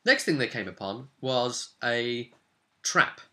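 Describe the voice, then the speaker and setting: A man speaking casually as a game master, recounting a tabletop campaign in an animated, explanatory way straight to the listener. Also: medium pitch, slow pace. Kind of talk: casual storytelling to camera.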